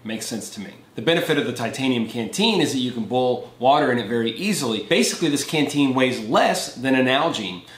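A man's voice: medium pitch, lively intonation, brisk, few pauses, fairly bright tone. Earnest man reviewing gear, roomy echo. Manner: earnest, plain explaining with hand gestures. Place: indoor gear room with shelves. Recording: echoey room.

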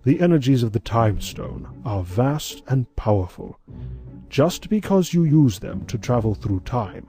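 A man voicing a wise, patient old mammoth mentor with a solemn, measured delivery in an animated comic dub. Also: medium pitch. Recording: music behind the voice.

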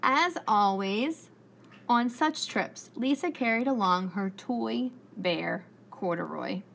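Slow, animated woman preschool teacher. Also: medium pitch, creaky. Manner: encouraging, expressive, deliberate. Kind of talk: children's read-aloud with questions. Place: indoors, school classroom. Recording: faint room noise.

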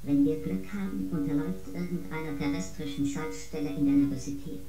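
An actress playing a sharp, businesslike investigator in a science-fiction radio play, with a formal, questioning manner. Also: female voice, deep voice, brisk. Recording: roomy sound with loud guitar music.

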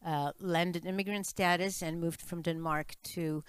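A woman's voice: on the low side, steady tone, unhurried, clean tone, no echo. A woman in her sixties with a Czech accent, telling family stories over a video call, full of fillers and restarts. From Czech Republic. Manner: chatty storyteller, many fillers and restarts. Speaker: guest musician. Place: home room with piano, indoors. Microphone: studio mic on stand, video call.

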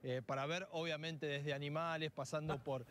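Higher-voiced Argentine man reporting live outdoors. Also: steady tone, a bit bright. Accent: Argentine, Buenos Aires area. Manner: chatty, halting, repeats questions. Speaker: TV field reporter. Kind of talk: live outdoor broadcast, casual street interviews. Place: outdoor fairground in open air. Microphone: handheld reporter microphone. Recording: noisy outdoor live TV feed.

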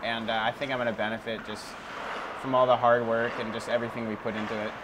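Casual nineteen-year-old hockey player. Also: male voice, mid-range voice, flat delivery, fast. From Oregon. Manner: casual, with likes and you knows. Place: indoor ice rink. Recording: loud rink noise behind.

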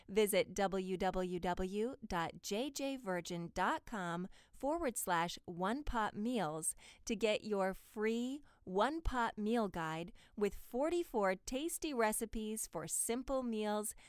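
Smooth, unhurried woman voice-over. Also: medium pitch, no room sound, clean studio sound. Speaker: voice-over artist. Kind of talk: scripted promotional voice-over for health and diet ads.

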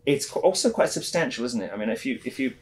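A man, hosting a shopping show in a casual, lively way, with many restarts, recorded on a studio set with a lapel mic. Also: mid-range voice, fast talker. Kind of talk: casual product chat.

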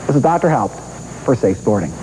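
Middle-aged American male sports doctor, relaxed mid-pitched delivery, faint VHS hiss. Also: brisk, rough recording. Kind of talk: scripted TV health segment. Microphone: lapel mic. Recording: hissy 1990s VHS TV recording.

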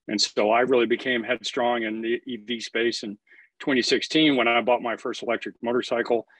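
A man's voice: medium pitch, steady tone, brisk, a bit bright, no echo, rough recording. A man in his thirties, an energy efficiency expert, speaking steadily and directly on a video call.

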